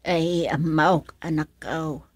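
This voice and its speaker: Husky, low-voiced worried mother played by a woman. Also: level tone, slow, no echo. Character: worried, protective poor mother of a grown son. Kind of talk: radio drama acting.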